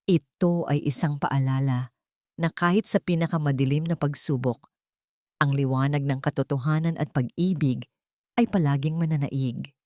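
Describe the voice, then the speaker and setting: A woman's voice: deep voice, mellow, no echo, studio quality. A woman narrating a scripted romantic story in a dramatic, sentimental way.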